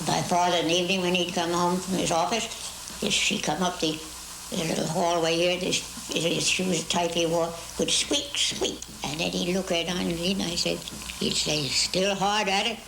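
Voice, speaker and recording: Creaky, low-voiced elderly American woman. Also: little pitch movement, noisy background. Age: 80s. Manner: deliberate, dramatic phrasing with pauses and repetition. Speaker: amateur conductor being interviewed. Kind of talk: reflective interview storytelling.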